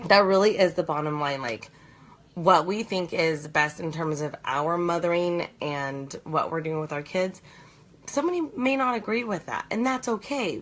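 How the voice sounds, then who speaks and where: A slightly hoarse woman in her thirties, chatting earnestly on a live stream from her bed at home, rambling with many fillers and restarts. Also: deep voice, fairly bright tone. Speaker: host of a live video challenge. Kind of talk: casual chat on a live stream.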